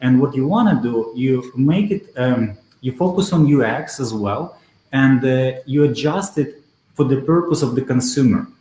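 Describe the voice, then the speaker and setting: A man with a mid-range voice, answering interview questions over a video call. He talks in a steady, measured way and often pauses on "uh". The sound carries a clear roomy echo. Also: medium pitch. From Belarus. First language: Russian. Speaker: guest, SEO consultant.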